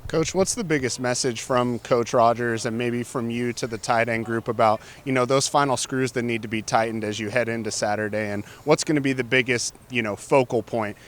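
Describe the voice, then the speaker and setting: A man asking a long, steady question as a reporter at a press conference. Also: mid-range voice, a little fast, hardly any pauses.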